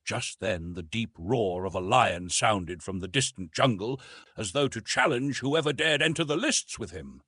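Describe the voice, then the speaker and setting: Expressive male audiobook narrator, slightly husky. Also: on the low side, normal speed, dry recording, studio quality. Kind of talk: scripted literary narration.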